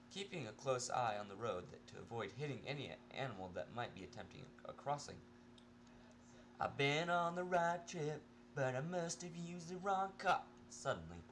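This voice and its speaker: A man's voice: fairly low pitch, a little hiss or hum. A man narrating a first-person story as an amateur reader, informal and prone to stumbling over words. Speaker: amateur fan fiction reader.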